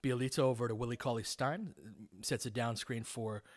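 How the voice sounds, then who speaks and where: A man's voice: medium pitch, steady tone, moderate pace. A man doing live basketball play-by-play, speaking in clipped, informal phrases and adding tactical analysis as he goes.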